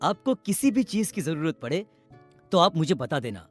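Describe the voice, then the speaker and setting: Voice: mid-range voice, sing-song, moderate pace, soft background music, pitched below the performer's own voice. A performer voicing a smitten, courteous young man, a landlord's son, in a bedtime story. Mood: polite, charmed.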